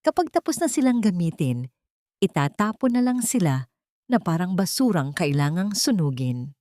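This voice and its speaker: A woman's voice: lowish voice, big pitch swings, moderate pace, dark tone, dry recording. Menacing, cold inner voice for dramatic storytelling, delivered by a woman, dry sound. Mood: cold warning.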